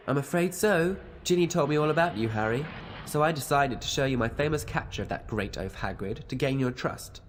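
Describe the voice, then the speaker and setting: An actor playing a smug, sinister teenage dark wizard in a video game, coolly gloating in a reverberant space. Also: male voice, mid-range voice, expressive, a little hiss or hum, natural voice. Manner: cool, gloating, deliberate. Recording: long cavernous reverb.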